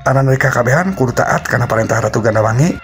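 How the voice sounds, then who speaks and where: Royal wanderer for a folktale, male storyteller acting: firm, brisk, emphatic, raised pitch. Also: lightly acted. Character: firm, bold royal traveller, grateful and brisk. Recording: music behind the voice.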